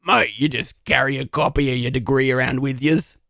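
A man doing a gravelly, brash Aussie bum. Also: mid-range voice, fairly even intonation, a little fast, pushed and bright, no room sound, clean studio sound. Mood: cheerful. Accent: Australian. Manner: brash, swearing, says mate. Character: crude boastful Australian male bum. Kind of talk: comedy audio drama.

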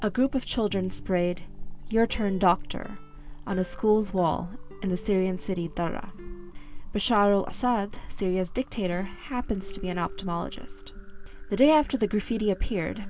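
Steady female documentary narrator. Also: mid-range voice. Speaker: host, narrator. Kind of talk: scripted narration. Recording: slightly dull lo-fi sound.

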